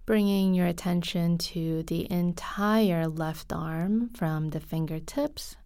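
A woman leads a guided meditation at a very slow pace, softly and calmly. Her tone is mellow and slightly breathy, with long pauses between phrases. The sound is dry and close. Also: fairly low pitch, no echo. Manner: soft, calm, unhurried, with frequent pauses. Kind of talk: guided body scan meditation.

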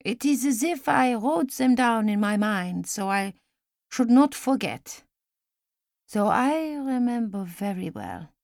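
A woman voicing a frail elderly woman who speaks formally and with gentle insistence, in an audiobook story. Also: on the high side, animated, vocal fry, no room sound, studio quality, heavily acted. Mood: reminiscing. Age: older. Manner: measured, formal, gently insistent speech with few contractions.

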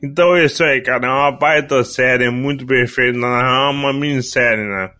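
A man, co-hosting a podcast and giving a relaxed, measured series review in casual chat. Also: mid-range voice. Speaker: podcast co-host.

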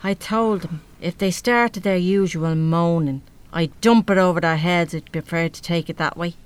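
Woman acting a blunt, low-voiced older Irish mother. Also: moderate pace, smooth, lightly acted. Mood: wry, matter-of-fact. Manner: blunt, wry, grumbling. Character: blunt, weary, no-nonsense older working-class mother. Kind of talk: live radio play acting.

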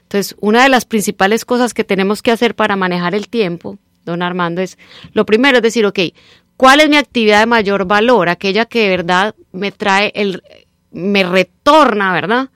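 A middle-aged Colombian woman with a slightly mixed Nicaraguan accent, speaking as a radio guest and time-management trainer in an animated, persuasive coaching tone. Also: medium pitch, moderate pace, no echo, clean studio sound. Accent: Colombian Spanish mixed with Nicaraguan. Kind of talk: radio interview, promoting a seminar.

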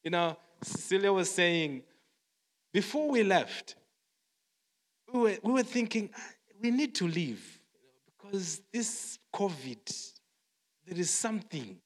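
A man from Zimbabwe preaching a sermon, repeating phrases, quoting songs and restarting his words often. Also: a little high. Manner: repetitive, song-quoting, stammering restarts. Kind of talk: sermon with testimony. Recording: long hall reverb.

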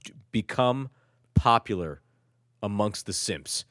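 A man's voice: on the low side, expressive, slow, a bit bright, no room sound, studio quality. A man from Turkey talking in loose casual chat, rambling and self-mocking, with lots of swearing and restarts.

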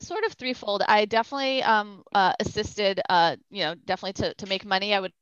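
A woman's voice: mid-range voice, fast, a bit bright, smooth, no room sound, muffled recording. A woman photographer from the Boston suburbs, chatting casually as an interview guest and rambling with lots of fillers. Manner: rambling, many fillers like "like" and "you know".

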